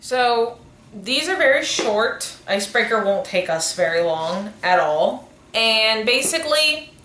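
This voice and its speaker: A woman's voice: a little high, lively intonation, a little slow, fairly bright tone. A woman in her twenties co-hosting a podcast, chatting casually and rambling as she corrects herself. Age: 20s. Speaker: podcast co-host. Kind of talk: casual chat. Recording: echoey room, faint noise.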